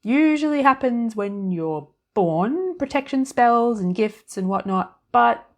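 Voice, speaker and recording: A woman's voice: a little high, expressive, takes it slowly, voice pushed up. A woman voicing a tiny, cheerful, scatterbrained older fairy godmother in a storybook reading, breezy and chatty.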